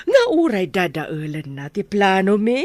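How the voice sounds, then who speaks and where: Actress as bullying rich matron, Filipino accent, wildly animated pitch, dry sound, faint music. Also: female voice, unhurried, no room sound. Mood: haughty, scornful. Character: arrogant, scornful wealthy lady bullying a poor mother.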